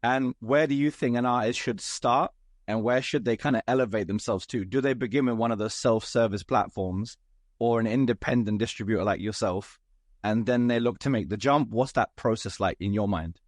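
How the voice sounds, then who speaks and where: A man hosting an interview, his voice hoarse and raspy, asking structured, articulate questions. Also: medium pitch, moderate pace, no room sound, studio quality. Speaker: podcast host. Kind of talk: interview, conversational.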